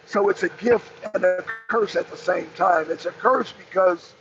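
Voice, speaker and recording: An American man with a raspy voice hosting a political talk show, giving impromptu commentary and emphatically repeating his key phrases. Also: sits high, normal speed, over loud music. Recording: dull lo-fi audio, clear background noise.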